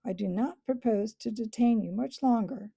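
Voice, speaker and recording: A woman's voice: fairly high pitch, moderate pace, vocal fry, warm tone, husky, dry recording. A woman voicing a pompous, scheming male weasel chief who makes a mocking speech in a storybook.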